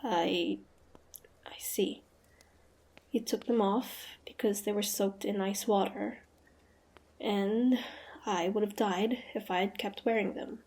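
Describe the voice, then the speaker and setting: A woman's voice: mid-range voice, fairly even intonation, slow pace, long pauses, a little mellow. A woman playing a proud, suspicious young female hunter in a fantasy audio roleplay, guarded and easily flustered, with a grudging tsundere streak. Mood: wary, puzzled.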